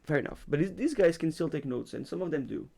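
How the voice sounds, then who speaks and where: Young man, gravelly voice, slightly high, lecturer chatting, acts out stories. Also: fast talker. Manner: animated storytelling, acts out his students and himself; frequent fillers and restarts. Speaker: university lecturer, podcast co-host. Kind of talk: casual chat.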